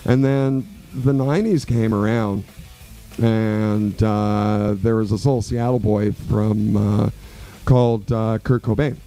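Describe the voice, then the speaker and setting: A man's voice: on the low side, takes it slowly, soft background music. A man in his forties from Quebec, a guest musician telling stories in a radio interview, loose and rambling with lots of fillers. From Quebec, Canada. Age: 40s. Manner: relaxed, rambling storyteller with many fillers.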